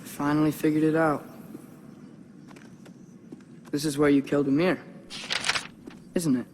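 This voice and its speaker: An actor playing a clever, curious teenage son in a video game cutscene, inquisitive and thinking aloud. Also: male voice, fairly high pitch, level tone, unhurried, noisy background, close to an everyday voice. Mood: curious, probing. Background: music.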